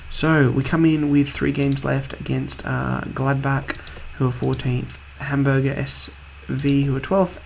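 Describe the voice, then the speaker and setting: A man hosting a video game let's-play in a casual, rambling way, slightly husky from a cold. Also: mid-range voice, a little mellow, slight background noise, poor audio. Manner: rambling, offhand, chatty.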